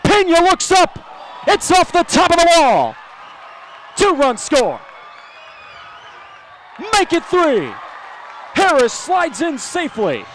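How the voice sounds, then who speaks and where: An American man calling baseball play-by-play as a broadcaster, animated and given to shouting on big plays. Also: very high-pitched, slow, pauses often, a faint crowd, a little hiss or hum. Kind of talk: live sports play-by-play commentary.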